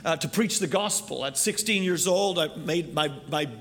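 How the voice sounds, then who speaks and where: Earnest Canadian-raised preacher, slightly high. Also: male voice, a bit bright, in a large hall. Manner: earnest, halting with fillers. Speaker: missionary preacher. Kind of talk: sermon introduction. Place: church sanctuary.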